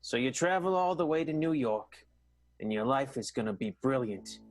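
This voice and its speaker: A man's voice: medium pitch, animated, moderate pace, lightly acted. A man playing a hapless, self-entitled theater manager, telling his story conversationally in an improvised radio soap. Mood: glib, rueful.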